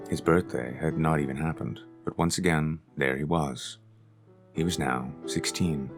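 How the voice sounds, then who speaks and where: Deep-voiced man narrating an audiobook. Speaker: author reading his own short story.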